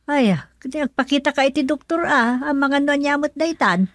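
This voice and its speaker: A woman's voice: high voice, animated, a little fast, no room sound. A woman playing a caring older mother and grandmother in an Ilocano radio drama, with a Filipino Ilocano accent. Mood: concerned.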